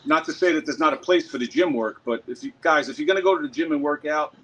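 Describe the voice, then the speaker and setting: A man's voice: a little high, quick pace, fairly bright tone, muffled recording, a little hiss or hum. A man hosting a workout stream outdoors in a park, chatting casually, rambling and swearing freely. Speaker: workout channel host.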